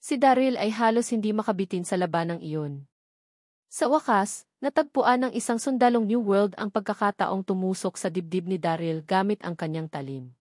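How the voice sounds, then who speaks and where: Female narrator for serialized fiction: medium pitch, scripted delivery, dry, echo-free sound. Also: moderate pace, a little mellow. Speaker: audiobook narrator.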